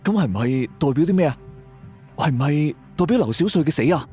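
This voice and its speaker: A man playing a calm, perceptive investigator in an audio drama, explaining things in a measured way. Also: on the high side, close to an everyday voice. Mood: pondering. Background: background music.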